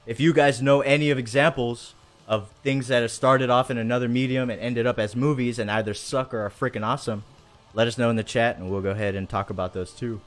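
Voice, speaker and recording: A man's voice: medium pitch, fairly even intonation, quick pace. Enthusiastic rambling man hosting, faint music behind. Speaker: show host. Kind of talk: casual livestream chat.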